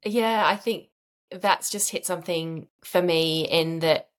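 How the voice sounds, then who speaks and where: Encouraging woman, low-pitched host. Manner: encouraging, earnest. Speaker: podcast host. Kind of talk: casual interview chat.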